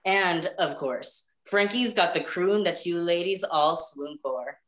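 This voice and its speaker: An actor playing a jive-talking 1940s radio announcer with drawn-out showbiz patter. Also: male voice, high voice, a bit bright, echoey room. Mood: showbiz cool.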